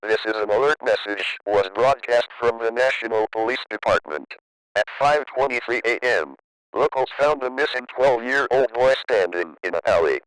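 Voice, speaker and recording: A man reading a scripted emergency broadcast as an alert announcer, in a formal, measured way. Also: mid-range voice, animated, fairly bright tone, rough recording.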